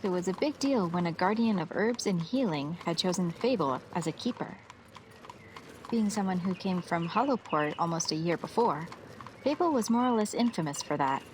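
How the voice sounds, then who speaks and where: A woman's voice: lowish voice, animated, normal speed, darkish tone, slight background noise. A woman narrating a scripted fantasy story as the storyteller of an audio drama.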